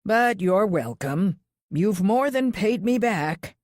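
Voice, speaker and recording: A woman voicing a gruff, stubborn small-town man in a thriller audiobook, plain-spoken and folksy with a loyal streak. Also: mid-range voice, lively intonation, slow pace, a little mellow, no room sound, clean studio sound, voice pushed up. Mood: gruff teasing.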